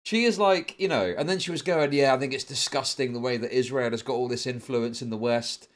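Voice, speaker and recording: A middle-aged man with a British accent hosting a livestream, chatting casually and telling stories in a rambling, sweary, animated way. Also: mid-range voice, fairly quick. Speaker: livestream host. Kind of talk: casual chat to a livestream audience.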